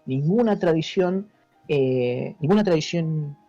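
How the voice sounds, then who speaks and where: Mellow Argentine man explaining, music behind. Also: a little high, animated, unhurried. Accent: Argentine Spanish. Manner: didactic, checks understanding with tag questions. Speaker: interview guest, history teacher. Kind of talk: informal explanatory interview. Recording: dull lo-fi audio with music behind.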